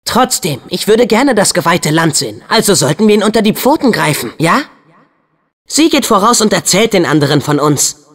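A man playing an upbeat, brave, earnest teenage boy hero in a video game dub, with an eager, expressive, youthful delivery. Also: high voice, normal speed, long reverb tail, full character voice. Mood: friendly.

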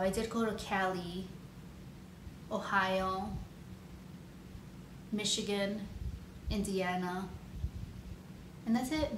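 A chatty woman in her thirties talks to the camera in a loose, rambling way, with plenty of ums and likes. Her voice sits at a middle pitch for a woman. The room sounds echoey, and a clear background hiss runs under her voice. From Chicago, United States. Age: 30s. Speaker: nail artist vlogger. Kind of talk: casual Q&A vlog. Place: home room with shelves, indoors.

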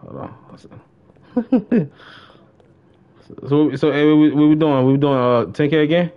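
A man's voice: a little high, a little slow, clear, resonant tone. A young American man chatting casually on a live game stream, slangy and repeating short phrases. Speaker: live streamer playing an online roleplay shooter game. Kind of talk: casual live gameplay chat. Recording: slightly dull, lo-fi stream audio.

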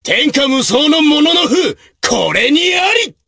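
An actor voicing a brave, martial samurai officer in a video game, with gruff, raspy, declamatory delivery in archaic period speech. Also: male voice, very high-pitched, very animated, slow, heavily acted. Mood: rousing battle cries. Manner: forceful, declamatory.

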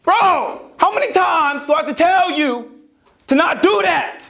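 A man's voice: extremely high pitch, animated, unhurried, vocal fry, bright, forceful tone, exaggerated voice. A man playing an exasperated caller screaming into a phone with a strained voice.

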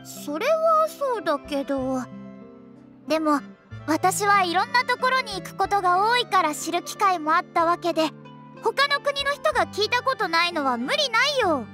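Game casting: cute, easygoing young cat girl, extremely high-pitched, guileless and eager, music underneath. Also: big pitch swings, normal speed, sharp tone, full character voice. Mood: earnest, admiring. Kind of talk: Japanese anime game voice acting.

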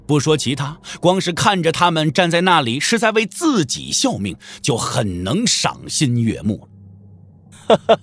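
Guy narrating a wuxia audiobook, dramatic, very up and down, on the higher side. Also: big pitch swings, measured pace, a bit bright, breathy edge.

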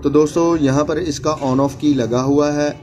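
A man's voice: on the high side, fairly even intonation, moderate pace, warm tone. A man talking viewers through a phone repair step by step, repeating each instruction as his hands work. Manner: repetitive instructional phrasing, addressing viewers as friends. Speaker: phone repair tutorial host. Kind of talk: step-by-step hands-on tutorial narration. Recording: noisy home recording.

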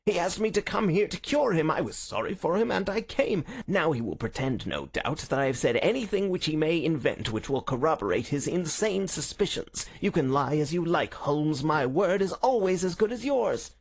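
A man voicing a vindictive, self-righteous villain with a high, dry, snarling rasp in a storybook reading. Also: wildly swooping pitch, a little slow, few pauses, a little mellow, heavily acted. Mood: indignant snarling. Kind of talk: dramatised audiobook reading.

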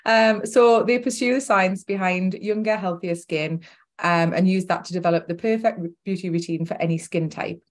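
A woman's voice: fairly low pitch, moderate pace. A woman in her forties with a Newcastle Geordie accent, presenting an informal product webinar.

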